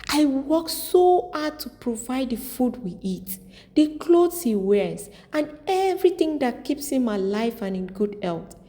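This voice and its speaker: A woman's voice: sits high, dark tone, pitched above the performer's own voice. Woman acting out an anguished village wife. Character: long-suffering, anguished village wife pouring out grievances. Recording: long reverb, music underneath.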